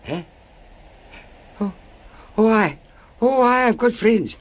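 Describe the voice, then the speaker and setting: An actor playing a wheedling, unhinged down-and-out beggar with a raspy, cracked voice in a radio drama. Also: male voice, high voice, lively intonation, takes it slowly. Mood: manic, defensive.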